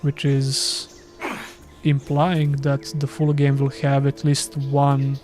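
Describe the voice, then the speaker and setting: A man's voice: medium pitch, completely flat delivery, slow pace, music in the background. A man from Serbia with a Serbian accent, a gaming streamer chatting casually and self-deprecatingly while playing live. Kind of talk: casual live gameplay commentary.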